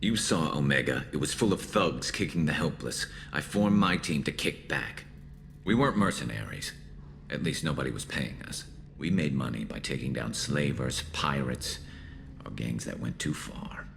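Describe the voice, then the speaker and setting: A man voicing a battle-scarred alien soldier in a video game, loyal and dryly wry, with a gravelly voice. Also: lively intonation, moderate pace, a bit bright, a little hiss or hum, full character voice. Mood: grim, proud. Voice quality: gravelly, very deep. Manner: dry, wry, clipped. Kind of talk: video game dramatic acting.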